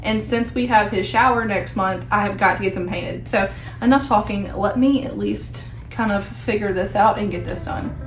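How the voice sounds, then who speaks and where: A young woman chats to the camera at home in a rambling, filler-heavy way, her voice hoarse and nearly gone. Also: mid-range voice, moderate pace, roomy sound, soft background music, low-quality recording. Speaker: vlogger. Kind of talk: casual chat to camera. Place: at home indoors.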